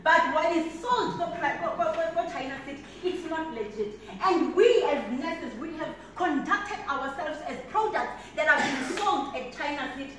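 A woman's voice: high voice, animated, moderate pace, hardly any pauses, fairly bright tone. A South African woman in her twenties giving a motivational keynote, with emphatic, preacherly repetition, walking the floor as she speaks. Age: 20s. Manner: walks about the floor, gesturing as she speaks. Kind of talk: keynote speech to a conference room. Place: large conference hall, indoors. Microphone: handheld mic, heard from a distant camera. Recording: echoey hall audio from far off with background noise.

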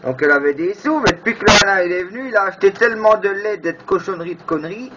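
A man's voice: sits high, expressive, rapid-fire, pushed and bright. A man bantering casually on amateur radio, teasing and crude. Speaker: amateur radio operator, video maker. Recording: muffled, very noisy FM radio chat.

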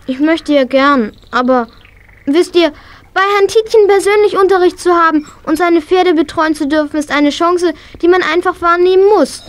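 Eager high-voiced horse-loving girl. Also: normal speed. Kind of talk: German children's audio play acting. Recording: noisy vintage audio play recording.

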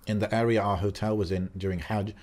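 A young man with a somewhat low voice talks through a podcast conversation at length. He rambles casually, full of "like" and "um", restarting his sentences as he goes. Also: little pitch movement, fast, darkish tone. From Algerian. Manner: talkative, many fillers and restarts. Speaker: podcast co-host.

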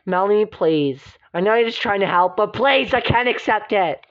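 A woman's voice: mid-range voice, animated, normal speed, voice pushed down, natural voice. A woman acts out a lovelorn, hot-tempered young woman in an amateur soap opera. She is dramatic and aggrieved, with swooping intonation. The sound is muffled and lo-fi. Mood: dejected. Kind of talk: amateur improvised soap-opera role-play. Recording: dull lo-fi recording.

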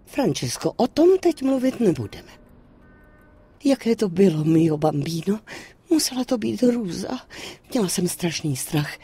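An actress playing an anxious, doting middle-aged Italian mother in a dubbed game, soft, husky and emotional. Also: medium pitch, very animated, full character voice. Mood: tender, worried. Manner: tender, emotional, fussing. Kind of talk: dubbed video game cutscene acting.

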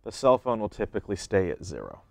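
Low-key man instructing, dry close sound, music behind. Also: wildly swooping pitch, quick pace, creaky, no echo. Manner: plain, step-by-step. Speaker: instructor demonstrating equipment for a rental company. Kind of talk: instructional how-to narration.

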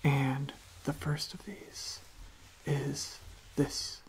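A young adult ASMR host speaking in a soft, gentle whisper, halting and repeating words, close to the microphone. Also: medium pitch, monotone, poor audio.

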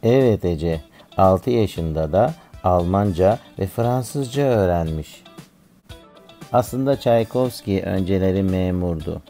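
A man as low, gravelly cartoon schoolboy pupil. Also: lively intonation, slow pace, mellow, soft background music, exaggerated voice. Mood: earnest, informative. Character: schoolboy pupil reciting facts earnestly. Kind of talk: scripted educational dialogue.

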